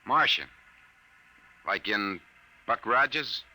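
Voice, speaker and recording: An American man playing a hard-boiled, wisecracking private detective in a 1950s radio drama, brisk, sardonic and bossy. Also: on the low side, lively intonation, bright, forceful tone, full character voice. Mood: incredulous. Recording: restored 1950s radio recording.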